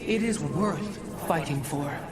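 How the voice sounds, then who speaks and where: A woman's voice: low-pitched, takes it slowly. Devout female alien elder for game drama, solemn, formal, somewhat far off under heavy noise. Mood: solemn, reverent. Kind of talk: dramatic sci-fi game acting. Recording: distant, very noisy ambient game audio.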